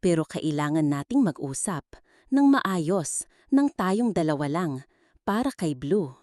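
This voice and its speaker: Woman acting as anxious young filipino single mom in an audio drama, earnest n halting, mellow, very expressive. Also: lowish voice, no room sound, studio quality. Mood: pleading, firm. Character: anxious but determined young single mother.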